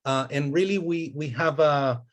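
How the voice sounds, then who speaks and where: A man's voice: on the high side, measured pace. Costa Rican man presenting at a webinar. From Costa Rica. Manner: friendly, fluent with frequent fillers and restarts. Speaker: venture capital investor relations partner.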